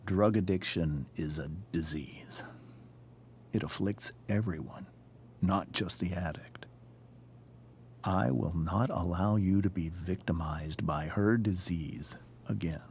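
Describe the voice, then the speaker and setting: A man playing a stern, protective middle-aged father in a video game drama, speaking gravely and deliberately. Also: sits low, darkish tone, a little hiss or hum. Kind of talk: dramatic game cutscene acting.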